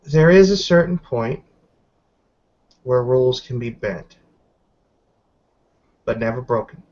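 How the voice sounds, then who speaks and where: A man voicing a stern, smirking female werewolf in a tabletop game, speaking in a measured, serious way. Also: medium pitch, expressive, darkish tone, muffled recording.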